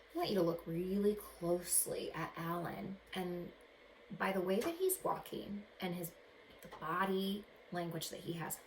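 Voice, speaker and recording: A woman teacher reading a storybook aloud to children in an expressive way. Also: medium pitch. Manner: expressive, addresses young listeners with questions. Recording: echoey room with faint background noise.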